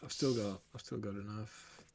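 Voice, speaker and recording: A man's voice: on the low side, steady tone, normal speed, gravelly, a little mellow. A man chatting casually as a player in a tabletop role-playing game, with some noise and clicks behind him. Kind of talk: casual role-play chat.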